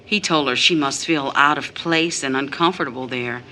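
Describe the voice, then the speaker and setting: A woman's voice: a bass voice, moderate pace, pushed and bright. A woman playing a bitter, disillusioned mother in an audio drama, emphatic and grave. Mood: bitter, defiant. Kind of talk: audio drama stage-style acting.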